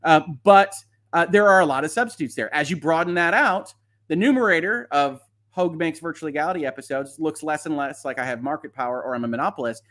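A man's voice: a little high, quick pace, fairly bright tone. A man hosting a live stream, explaining and commenting on legal matters in a conversational, frank and opinionated way. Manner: conversational, explanatory, often checks with a tag "right?". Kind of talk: live commentary, answering viewer questions and explaining antitrust law.

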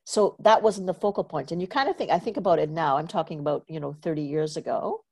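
A woman from Trinidad and Tobago hosts a conversational interview with a low, grounded voice. She talks in a loose, chatty way, drifting into personal stories and leaning on "you know" fillers. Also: fast talker, warm tone, breathy edge. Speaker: podcast host.

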